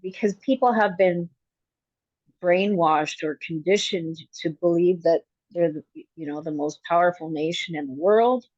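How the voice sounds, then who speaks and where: A woman's voice: on the low side, measured pace, a little mellow, no echo, muffled recording. A woman chiming in during a casual study group, chatty and full of "like" and "you know".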